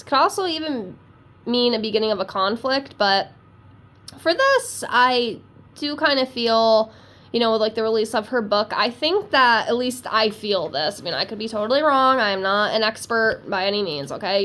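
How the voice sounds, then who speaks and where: A woman's voice: fairly high pitch, a bit bright. A woman with a congested, stuffy voice from a cold, chatting casually and rambling as she reads tarot cards. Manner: rambling, talkative, self-deprecating. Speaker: tarot reader.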